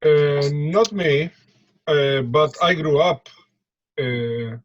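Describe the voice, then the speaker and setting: A man with an Argentine Spanish accent talking casually in an interview, repeating words in halting non-native English. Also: fairly high pitch, highs cut off. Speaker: musician, percussionist and drummer interviewed.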